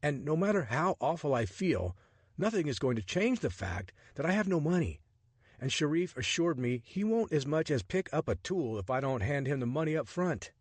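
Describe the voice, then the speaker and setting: A man's voice: a little high, big pitch swings, moderate pace, husky, no echo, voice pushed up. A man reading a story voices a flustered, sarcastic American adventurer who is defensive and exasperated.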